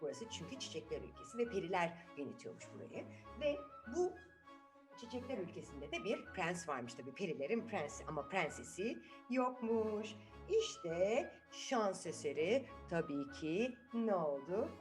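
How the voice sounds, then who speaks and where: Bir kadın, çocuklara sorular sorarak, sözleri tekrarlayarak dramatik bir dille doğaçlama masal anlatıyor. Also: female voice, lowish voice, very animated, moderate pace, over music. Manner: dramatic, repeats words, asks listeners questions. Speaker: children's storyteller. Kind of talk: improvised, animated storytelling to children.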